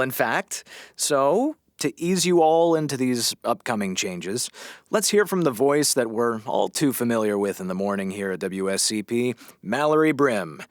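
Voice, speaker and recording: A man playing a groggy, sardonic radio morning host in a comedy audio drama, with a dry, halting delivery. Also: mid-range voice, no echo, close to an everyday voice.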